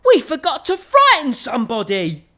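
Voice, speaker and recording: A woman voicing a big, bumbling, mischievous skeleton with drawn-out, exaggerated delivery in a children's storybook. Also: high-pitched, huge pitch swings, bright, forceful tone, pitched above the performer's own voice, full character voice. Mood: musing.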